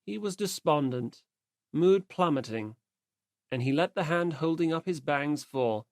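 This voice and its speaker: A woman's voice: sits low, lively intonation, a little slow, dry recording, studio quality. A woman reading scripted story narration as an audiobook narrator.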